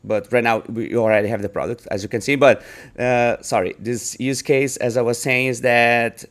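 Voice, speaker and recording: A man's voice: mid-range voice, fairly even intonation. A man with a Brazilian Portuguese accent, a startup founder casually walking through a screen-share demo of his product, often restarting and filling in with "like" and "uh". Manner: halting, many restarts and fillers.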